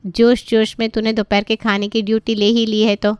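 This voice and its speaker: A woman's voice: on the high side, little pitch movement, moderate pace, a little mellow, smooth, no room sound, lightly acted. A woman voicing an older gossipy friend in a cartoon story, blunt, knowing and chiding. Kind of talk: animated moral story dialogue.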